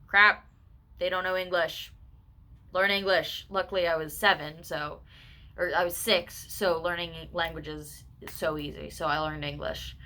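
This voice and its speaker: A woman in her twenties telling a personal story in a casual, candid vlog, full of quick asides and self-corrections. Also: on the low side, fairly even intonation, sharp tone. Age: 20s. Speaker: vlogger.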